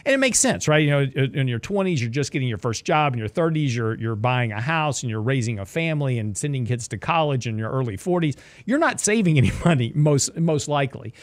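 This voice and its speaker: A man's voice: mid-range voice, lively intonation, fairly quick, few pauses, dry recording. A man in his sixties hosting a casual financial advice talk, chatty and jokey, repeating himself as he explains. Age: 60s. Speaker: show host, investment strategist.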